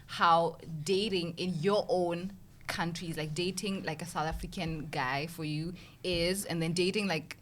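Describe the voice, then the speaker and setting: A young woman from Botswana hosts a relaxed group conversation, her voice sitting a little low for a woman. She talks in a loose, chatty way, full of fillers and quick laughs. A faint hiss of noise runs under the recording. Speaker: host. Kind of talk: casual panel chat.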